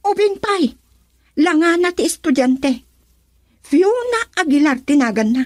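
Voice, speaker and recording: An actress with an Ilocano Filipino accent playing a suspicious, upset wife in a radio drama. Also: female voice, high voice, lively intonation, a little slow, darkish tone, dry recording, studio quality. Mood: accusing, upset. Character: suspicious, upset wife confronting her husband.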